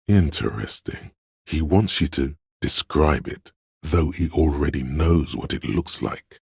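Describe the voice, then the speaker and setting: Very deep, gravelly, lofty inner muse. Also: male voice, animated, darkish tone, no room sound, clean studio sound, heavily acted. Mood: solemn, lofty. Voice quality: very deep gravelly husky bass. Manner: slow, deliberate, theatrical, pretentious art-critic delivery. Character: artistic inner voice of a detective's mind, lofty and critical. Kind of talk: dramatic game narration.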